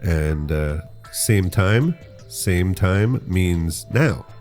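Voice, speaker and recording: Male podcast host, very low voice, chatty and rambling, faint music underneath. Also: animated, very slow, a little mellow. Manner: rambling, jokey, explains slang. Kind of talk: casual chat.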